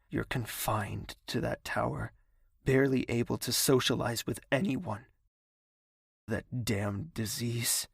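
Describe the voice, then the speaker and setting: A man playing a brooding, menacing young prince in an audio drama, speaking in a measured, gravelly, husky voice. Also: medium pitch, no room sound, studio quality, full character voice. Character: brooding, menacing young prince, tender toward his mother.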